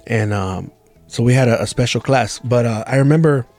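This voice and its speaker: A man's voice: medium pitch, normal speed, a little mellow, faint music behind. A man in his forties who grew up in the Los Angeles area with Spanish as his first language, co-hosting a podcast in blunt, profane, rambling chat. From Los Angeles area, California. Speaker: podcast co-host. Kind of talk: casual chat.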